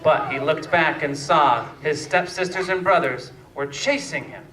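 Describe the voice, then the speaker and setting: A man narrating a scripted fairytale slowly and deliberately, with pauses, as a storyteller. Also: on the high side. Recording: echoey room, distant mic, faint noise.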